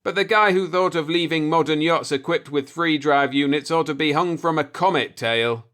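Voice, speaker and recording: Man playing cocky space mechanic, raised pitch. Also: normal speed, fairly bright tone, lightly acted. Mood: wry, unfazed. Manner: clipped, slangy, wisecracking. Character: cocky, plucky male spaceship mechanic, wry and slangy. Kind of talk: storybook dialogue in a sci-fi audiobook.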